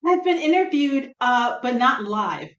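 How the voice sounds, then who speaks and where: Middle-aged woman, light Brooklyn accent, chatty guest on a video call, higher voice, roomy echo. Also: sing-song, a little slow, fairly bright tone, dull audio. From New Jersey. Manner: chatty, self-deprecating, laughs often. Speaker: guest comic writer. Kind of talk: casual interview chat. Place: room at home.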